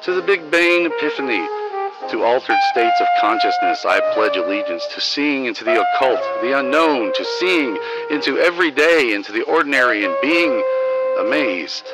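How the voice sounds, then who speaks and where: A man hosting, reading scripted material in a declamatory, deliberate way. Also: mid-range voice, poor audio. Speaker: host. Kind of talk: scripted news narration and poem reading.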